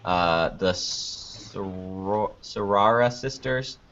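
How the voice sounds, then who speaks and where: Male podcast guest, lower register, thinks aloud conversationally, dull low-fidelity recording. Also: drawn-out words, a bit bright, slight background noise. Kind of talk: casual interview chat. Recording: dull lo-fi online call audio.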